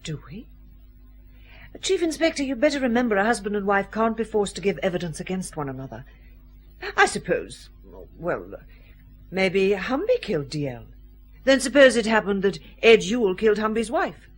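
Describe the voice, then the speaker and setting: Scornful, husky female murder suspect. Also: medium pitch, very animated, moderate pace. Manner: sarcastic, dismissive. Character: defiant, scornful married woman suspected of murder. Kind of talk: radio detective drama acting.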